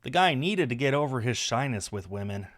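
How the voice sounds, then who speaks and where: A man's voice: medium pitch, animated, fairly quick, fairly bright tone. A man reading a visual novel aloud on a stream, narrating the story with casual asides.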